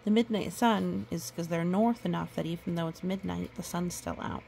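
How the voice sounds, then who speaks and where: A woman reading a picture book aloud to children, gentle and nurturing, with chatty asides. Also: lowish voice, moderate pace, vocal fry, slight background noise.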